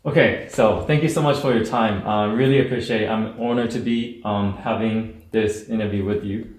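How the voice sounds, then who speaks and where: A man's voice: on the low side, steady tone, moderate pace. A man hosting a podcast interview, polite and careful, leaning on many fillers. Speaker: podcast host. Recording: roomy echo.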